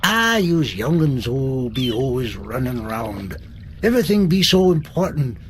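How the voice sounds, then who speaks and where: A man's voice: medium pitch, sing-song, a little slow, a little hiss or hum. An actor playing an old seaman who tells tales in a folksy dialect and grumbles about the young. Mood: grumbling. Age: older. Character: old male sailor storyteller, grumbling.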